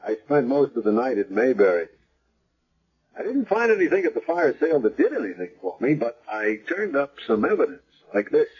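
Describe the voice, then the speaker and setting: An actor playing a calm, probing hospital chaplain with a measured, matter-of-fact manner in radio drama style. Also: male voice, mid-range voice, breathy edge. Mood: businesslike. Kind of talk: 1970s radio drama acting. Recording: dull vintage radio recording.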